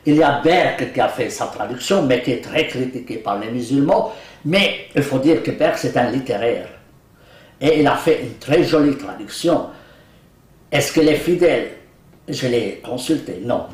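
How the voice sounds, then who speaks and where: A man's voice: mid-range voice, expressive, moderate pace. An older man whose first language is Arabic, chatting in an interview, joking, laughing often and hesitating with many fillers. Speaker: Quran translator being interviewed. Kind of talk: casual interview conversation. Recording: roomy, mic at a distance.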